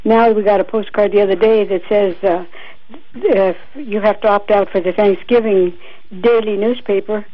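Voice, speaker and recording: A woman's voice: mid-range voice, fairly even intonation, normal speed, muffled recording. A woman calling in to a radio show, telling her complaint in a plain, matter-of-fact way. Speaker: caller. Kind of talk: phone-in caller.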